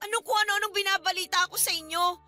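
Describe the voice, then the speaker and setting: A woman's voice: extremely high pitch, fairly even intonation, fast talker, bright, forceful tone, heavily acted. A woman playing a hurt young student daughter in a Filipino radio drama, pleading earnestly and respectfully but insistently.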